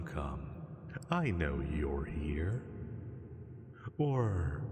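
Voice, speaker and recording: A man's voice: sits low, darkish tone, exaggerated voice. A man playing a sly ancient dragon in an audio drama, condescending and menacing, drawing out his taunts theatrically. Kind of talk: scripted roleplay audio drama monologue. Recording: long cavernous reverb.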